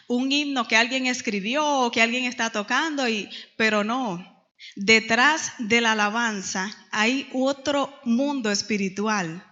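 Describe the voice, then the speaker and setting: A woman's voice: fairly high pitch, bright, forceful tone. A middle-aged woman from the Dominican Republic with a Dominican accent, teaching a Bible class in church and retelling stories with frequent hesitations. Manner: storytelling with many hesitations. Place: church hall. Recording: dull lo-fi church recording with long reverb.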